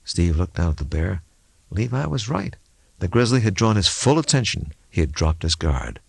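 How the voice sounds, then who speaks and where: Male narrator for thriller audiobook: low, creaky voice, expressive and measured, close dry sound. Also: no echo. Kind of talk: dramatic scripted narration of a suspense novel.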